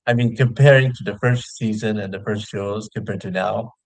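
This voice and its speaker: A man's voice: on the low side, steady tone, normal speed, no echo, poor audio. A man who hosts his own show, chatting casually as a guest. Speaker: video show host appearing as a guest. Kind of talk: casual chat.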